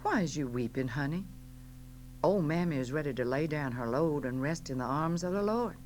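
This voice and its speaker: A woman's voice: sits low, lively intonation, normal speed, darkish tone, faint music behind. A woman playing a dying old Southern mammy in an audiobook, soothing, folksy and scolding in a devoted way. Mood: gently consoling. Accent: American South. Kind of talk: dramatic audiobook character acting.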